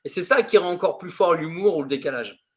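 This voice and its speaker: A man's voice: high voice, expressive, quick pace. A man teaching a teen improv class over a video call, teasing the students with lots of laughs and fillers.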